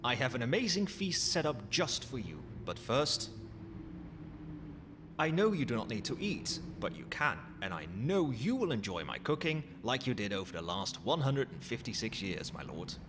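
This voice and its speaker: Man playing a lively, cheeky skeleton butler. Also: mid-range voice, normal speed, natural voice. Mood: ceremonious, proud. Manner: formal, servile, theatrical. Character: pun-loving undead skeleton butler, courteous and cheeky. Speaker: butler character in roleplay. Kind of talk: ASMR roleplay acting. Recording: long hall reverb, noisy ambience.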